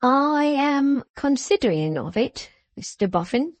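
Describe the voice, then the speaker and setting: A fawning, self-satisfied old man who bows and scrapes with every sentence, as a woman puts him on. His voice is dry and close, and it dips low when he adds a deferential word. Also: very animated, unhurried, no room sound. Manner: fawning and deferential, clipped "sir" endings. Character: obsequious, self-important older man with a wooden leg.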